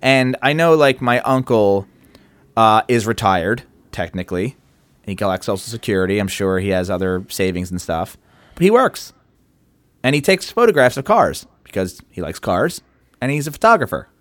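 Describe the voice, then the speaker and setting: A man with a very lively, swinging pitch, chatting on a podcast and questioning his co-host. The sound is dry and close. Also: normal speed, no echo. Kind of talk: casual podcast chat.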